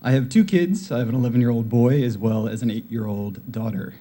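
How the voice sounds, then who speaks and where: A man in his forties speaks at a town meeting as a parent and volunteer. His voice is dark and mellow, and he talks plainly and steadily with a few filler uhs. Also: mid-range voice, fairly quick. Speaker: public commenter, volunteer coach. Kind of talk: public comment speech.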